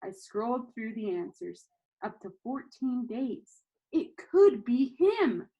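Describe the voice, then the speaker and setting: Expressive woman reading a story aloud, higher voice. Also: takes it slowly, husky, dull audio. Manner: animated, acts out dialogue. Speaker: reader of a children's novel.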